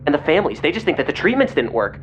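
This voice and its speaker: A man's voice: on the high side, very animated, rapid-fire, a bit bright. Lo-fi noisy call, actor as intense male investigator. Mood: grim agitation. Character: agitated male investigator relaying grim secret documents by phone. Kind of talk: audio drama acting, partly reading documents aloud. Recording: dull lo-fi phone call, clear noise.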